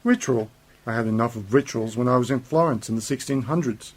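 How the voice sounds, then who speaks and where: A man's voice: mid-range voice, a little fast, close to an everyday voice. A man playing a curt, dismissive time-travelling uncle in an amateur science fiction radio play.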